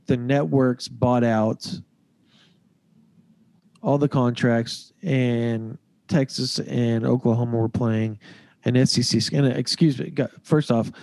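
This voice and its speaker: A man's voice: mid-range voice, vocal fry, a little mellow, no echo. A man hosting a sports podcast, sounding nasal from a cold, talking in a rambling, hedging way. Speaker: podcast host. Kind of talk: casual sports chat.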